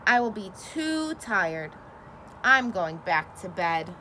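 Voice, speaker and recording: A sleepy male toad from a storybook grumbles that he wants to stay in bed, as a woman reads him. His voice is lower and grumbling, bright in tone, with a faint hiss behind it. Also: sing-song, takes it slowly, full character voice.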